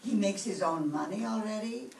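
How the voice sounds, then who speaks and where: A woman's voice: mid-range voice, moderate pace, darkish tone, close to an everyday voice. Woman playing a Yiddish-accented fussing mother, roomy stage. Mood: chatty, excitable. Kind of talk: old-time radio comedy acting. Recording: roomy stage pickup, distant mic, faint noise.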